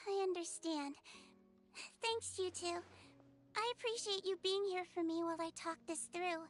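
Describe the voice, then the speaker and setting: A woman voicing a small, gentle, youthful nonhuman girl doctor in a video game, with an earnest, measured manner. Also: very high voice, soft background music. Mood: earnest, grateful.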